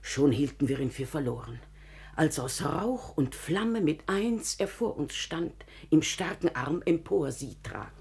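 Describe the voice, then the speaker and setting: An actress playing a devoted, excitable household companion in a classical German radio drama, with declamatory, emphatic storytelling. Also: female voice, low-pitched, measured pace, creaky, slightly rough, exaggerated voice. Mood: dramatic, troubled.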